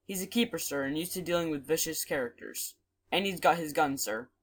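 A woman plays a wary country manservant in a storybook tale. His voice sits fairly low and he speaks firmly, with stubborn, rustic, plain-spoken phrasing. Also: brisk, slightly rough.

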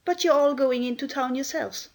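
A woman's voice: sits high, moderate pace, pitched above the performer's own voice. A woman voicing a grumpy, suspicious housemaid in a children's storybook, blunt and scolding in manner. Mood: cross, suspicious. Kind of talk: acted children's storybook reading.

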